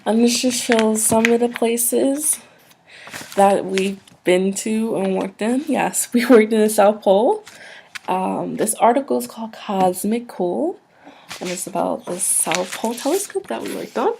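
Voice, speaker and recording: A soft-spoken woman in an ASMR roleplay, acting as a polite, businesslike job interviewer who explains things a little hesitantly. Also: on the high side, expressive, measured pace, vocal fry, a little hiss or hum.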